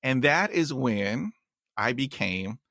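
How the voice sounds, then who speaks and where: A man's voice: medium pitch, animated, slow pace, vocal fry, sharp tone, no room sound, clean studio sound. A man hosting a podcast, chatting casually and telling stories, with stuttered repeats and blocks. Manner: stutters with repeated sounds, storytelling. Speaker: podcast host. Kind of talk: casual interview chat.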